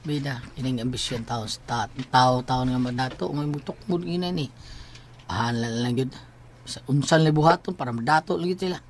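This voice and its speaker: A woman's voice: rumbling low, normal speed, a little hiss or hum. A woman playing an exasperated, worried wife in a Cebuano radio drama, speaking plaintively with emotional exclamations. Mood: brooding, suspicious. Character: exasperated, worried wife of a lottery-crazed husband.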